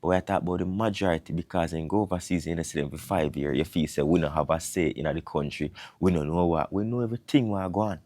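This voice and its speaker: Jamaican man, thirties, lowish voice, patois-laced casual talk, dry close sound. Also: quick pace, hardly any pauses, dry recording. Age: 30s. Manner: animated, mixing patois into English. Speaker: vlogger. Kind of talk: casual monologue.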